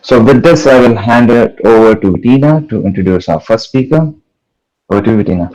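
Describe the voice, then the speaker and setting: A man gives announcements as organizer of an online event, in a somewhat low voice. He talks haltingly and keeps stopping to say uh and um. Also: darkish tone, rough recording. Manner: halting, many uh and um.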